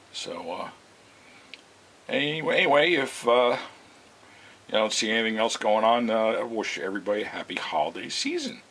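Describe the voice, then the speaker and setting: A man giving a casual, unscripted demonstration as a video host, speaking in a halting, rambling way. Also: fairly low pitch, a bit bright. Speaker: video host demonstrating vintage radio repair. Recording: hissy, noisy amateur home video.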